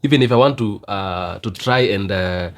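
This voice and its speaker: A man talking earnestly in a casual debate, halting and restarting as he thinks. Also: on the low side. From Kenya.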